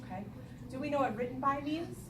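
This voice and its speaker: A woman leads a read-aloud lesson as an elementary school teacher, with a highish voice that swings up and down. She questions the children and repeats their answers. The recording is echoey and distant, with clear background noise. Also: expressive, fast talker. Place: classroom with young children. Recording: echoey room, distant mic, noisy background.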